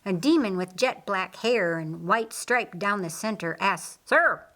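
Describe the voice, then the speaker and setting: Woman reading an audiobook story, slow and measured, kinda deliberate. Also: mid-range voice, fairly bright tone. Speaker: audiobook narrator. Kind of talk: scripted story narration.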